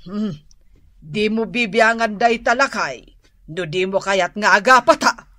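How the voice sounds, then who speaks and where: Slow-talking, nagging older Ilocano wife. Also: female voice, medium pitch, pushed and bright, no echo, clean studio sound. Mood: scolding. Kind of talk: Ilocano radio comedy drama acting.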